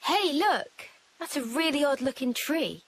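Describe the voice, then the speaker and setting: A woman with a British accent playing a lively, curious young adventurer in a video game. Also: sits high, a little slow. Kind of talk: video game cutscene acting.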